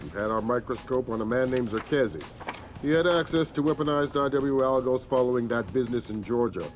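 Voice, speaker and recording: An actor playing a stern, measured intelligence chief in a video game, businesslike and authoritative. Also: male voice, medium pitch, lightly acted. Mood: diplomatic, firm.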